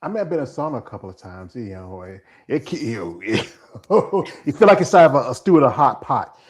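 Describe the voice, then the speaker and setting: A man's voice: medium pitch, sing-song, fairly quick. A man hosting an interview, rambling and leaning on "you know". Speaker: interview host. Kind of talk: casual interview chat.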